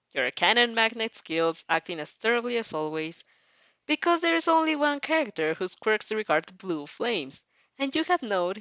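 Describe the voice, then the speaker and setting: A woman reads a story aloud with lively, swinging intonation. Her delivery is expressive and informal, slipping between narration and her own casual reactions. The sound is close and dry. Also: mid-range voice, dry recording. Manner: animated, breaks off to react and restart sentences. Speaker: fan fiction reader and reactor. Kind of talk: expressive audio reading of fan fiction with casual asides.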